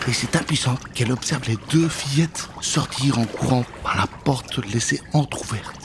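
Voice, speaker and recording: A man's voice: medium pitch, moderate pace, heavy background noise. A man in his thirties telling a children's story dramatically into a close studio microphone. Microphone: close studio microphone with pop filter.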